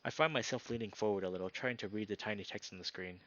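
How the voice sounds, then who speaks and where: A man narrating a visual novel aloud as a streamer, with informal, expressive asides. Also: lowish voice, quick pace, muffled recording.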